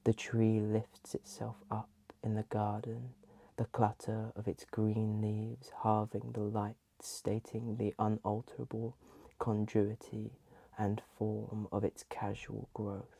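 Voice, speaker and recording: A soft, breathy ASMR host reading in a hushed voice that often slips into a whisper. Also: mid-range voice, completely flat delivery, takes it slowly, dry recording.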